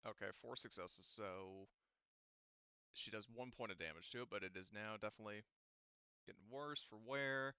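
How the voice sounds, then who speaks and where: A man's voice: fairly low pitch, normal speed, pauses often, sharp tone, clear, resonant tone, no echo, dull audio. A man running a tabletop roleplaying game as game master, casually thinking aloud as he works through the dice results.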